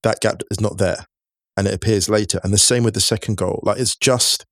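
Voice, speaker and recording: A man's voice: on the low side, fairly even intonation, a little fast, dry recording, clean studio sound. A man chatting about football as a pundit, enthusiastic and prone to repeating words as he goes. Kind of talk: casual football chat.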